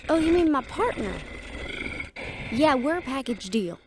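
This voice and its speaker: A woman's voice: high voice, big pitch swings, unhurried, background noise. A woman playing a sarcastic, defiant young half-fae daughter in an audio drama, with a snappy manner. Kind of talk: dramatic audio roleplay acting.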